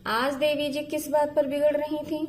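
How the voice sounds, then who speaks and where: A woman's voice: high-pitched, flat delivery, normal speed, pitched above the performer's own voice, close to an everyday voice. A woman storyteller voicing a scheming young second wife, coolly manipulative and dismissive. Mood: needling. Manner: sly, dismissive, advising.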